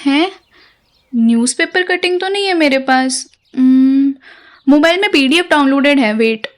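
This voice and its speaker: A woman's voice: high-pitched, lively intonation, normal speed. A woman playing a childlike, puzzled young wife in an audio drama. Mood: sleepy, puzzled.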